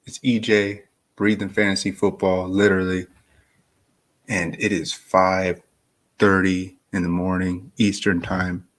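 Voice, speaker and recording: Groggy deep-voiced man, laid-back. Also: level tone, a bit bright. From Florida. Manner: laid-back, rambling, slow-talking. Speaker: fantasy football streamer. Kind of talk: casual live-stream chat.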